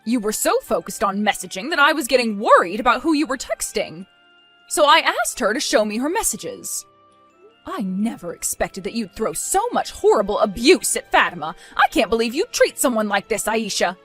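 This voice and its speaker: A woman's voice: fairly high pitch, sing-song, moderate pace, a bit bright, breathy edge, soft background music, full character voice. A woman voicing a stern, disappointed mother nearing retirement age in a dramatized story, scolding with weary firmness. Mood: reproachful.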